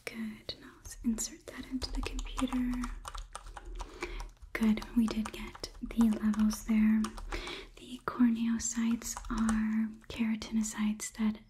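A woman in her twenties performing a whispered ASMR roleplay as a politely detached robot examiner, murmuring in a soft, unhurried, clinical manner. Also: a little high, flat delivery, noisy background. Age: 20s. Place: small indoor studio set. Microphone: close microphone, on camera.

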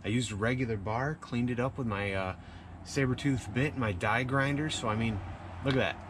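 A man's voice: medium pitch, a little fast, background noise. A man in his thirties, hot and sweaty, chatting casually to viewers about his woodcarving in an outdoor shed. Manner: chatty, addresses viewers as guys. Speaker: woodcarving vlogger. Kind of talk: casual workshop vlog talk. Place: outdoor carving shed under a canopy.

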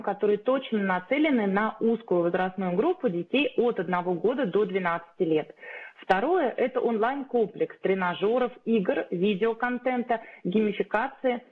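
A woman in her thirties, a company founder, giving a steady, rehearsed business pitch to investors over a video call. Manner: steady, rehearsed delivery with occasional filler sounds. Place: indoor room, video call. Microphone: webcam or laptop mic on a video call. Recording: narrow phone-like sound.

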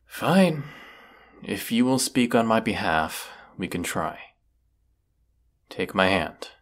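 A man playing a brooding villainous wizard in a fantasy audio roleplay, stern and formal in his deliberate delivery. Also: on the low side, sing-song. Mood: curt, impatient. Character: brooding villainous wizard of the woods, secretly lovelorn.

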